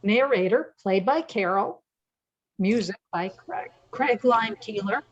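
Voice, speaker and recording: An older woman reading scripted narration for a radio play, with a husky voice. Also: medium pitch, slow, dull audio.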